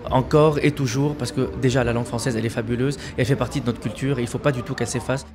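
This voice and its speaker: A man's voice: medium pitch, steady tone. A man who sings in the show, answering an interviewer with enthusiasm. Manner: enthusiastic, fast-talking. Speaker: singer interviewed.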